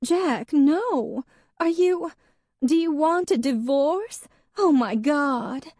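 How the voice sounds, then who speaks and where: A woman's voice: very animated, unhurried, a little mellow. A woman voicing a dramatic, defensive young wife who turns tearful and pleading. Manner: shrill, pleading, emotional.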